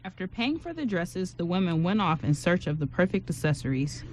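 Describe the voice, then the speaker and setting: A woman's voice: on the low side, very animated, brisk, a little mellow. A woman reading scripted narration as the storyteller of an amateur radio drama.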